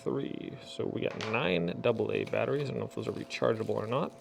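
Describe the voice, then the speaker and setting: A man's voice: on the low side, brisk, creaky, noisy background. A man hosting a casual product unboxing, chatting in a folksy way and muttering to himself as he works. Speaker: unboxing video host.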